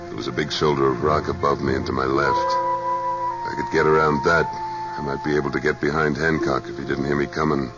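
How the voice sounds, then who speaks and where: Very deep-voiced, tough cowboy hero. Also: male voice, flat delivery, brisk. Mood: grim narration. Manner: terse, commanding. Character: tough, laconic cowboy hero narrating his own adventure. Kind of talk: 1950s radio western acting and narration. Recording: dull 1950s radio recording with music.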